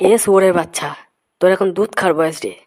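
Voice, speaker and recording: A woman's voice: lowish voice, steady tone, racing, a little mellow, close to an everyday voice. A woman voicing a grumpy, foul-mouthed village father in a comic cartoon, talking in blunt, scolding slang. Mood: exasperated. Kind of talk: comic Bengali cartoon dubbing.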